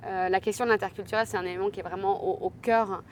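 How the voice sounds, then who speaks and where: A woman hosting an interview podcast, chatty and lively, speaking casually with her guest. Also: mid-range voice, fast talker, slight background noise. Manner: lively and chatty, laughs easily. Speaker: podcast host.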